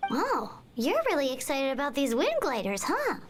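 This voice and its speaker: A woman voicing a tiny, childlike, chatty fairy companion in a video game, squeaky, with music behind. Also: wildly swooping pitch, moderate pace. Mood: curious, surprised. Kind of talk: cartoonish game voice acting.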